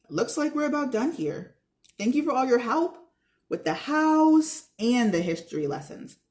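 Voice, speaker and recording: A woman's voice: high voice, sing-song, moderate pace, darkish tone, voice pushed up. A bookish young boy from a storybook, read aloud by a woman. He is competitive and talks like a know-it-all. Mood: polite.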